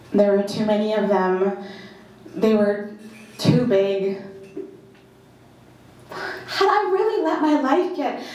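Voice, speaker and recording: A woman performing a first-person dramatic monologue at a live reading, in short, deliberate, halting phrases with emphatic repetition. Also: mid-range voice, a little mellow. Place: indoor live performance in a club. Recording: very echoey live venue.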